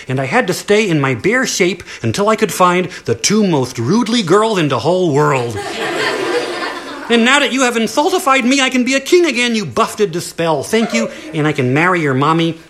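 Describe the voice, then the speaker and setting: A woman's voice: lowish voice, very animated, normal speed, fairly bright tone. A woman voicing a grateful, giddy fairy-tale king who gushes his thanks.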